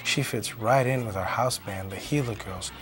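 A man's voice: lowish voice, normal speed, lightly acted. A man voicing a friendly, chatty female salamander waitress in a storybook.

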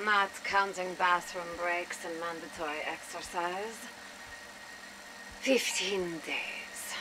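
A woman's voice: fairly low pitch, fairly even intonation, slow, noisy background. An actress playing a defiant, weary young woman held captive in a video game, guarded in the way she talks. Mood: bitter sarcasm. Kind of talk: dramatic video game voice acting.

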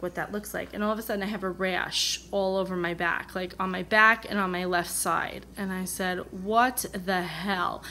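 An American woman talks at a medium pitch, as a vlogger would to her regular viewers. Her delivery is casual and candid, wandering a little as she replays who said what. A mild cold leaves her voice sounding slightly off. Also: pushed and bright, slight background noise. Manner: candid, rambling, acts out remembered dialogue. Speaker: vlogger, coloring channel host. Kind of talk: casual chatty personal storytelling. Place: indoors at a table, coloring on camera.

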